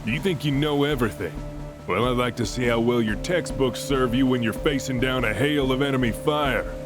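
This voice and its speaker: An actor playing a stern career military commander in an audio drama, curt, commanding and disdainful of academics. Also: male voice, mid-range voice, normal speed, over music, close to an everyday voice. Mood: stern, scornful.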